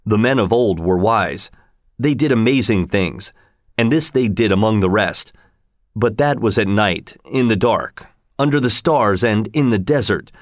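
A man voicing an old Hawaiian wizard, grave and commanding, with a solemn, deliberate delivery. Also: fairly low pitch, expressive, no room sound, clean studio sound.

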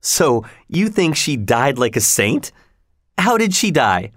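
A man's voice: medium pitch, very animated, slow, fairly bright tone, dry recording, clean studio sound, pitched above the performer's own voice, heavily acted. A man voicing a tormented, passionate male lover from a storybook, brooding and vengeful, with an intense, anguished delivery. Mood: bitter grief.

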